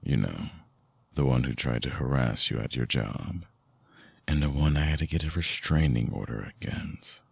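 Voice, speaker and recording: A man playing a brooding, tender, wounded vampire ex-lover in an intimate ASMR audio drama, husky and breathy, with a halting, hushed delivery close to the mic. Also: very deep voice, no room sound, exaggerated voice. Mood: bitter, haunted. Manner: slow, halting, sighing, hushed confiding. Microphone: close binaural mic, dry sound.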